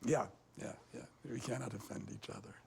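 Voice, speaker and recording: A man in a documentary interview, talking in halting bursts and repeating words, audibly moved. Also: on the low side, fairly even intonation, vocal fry, darkish tone, husky.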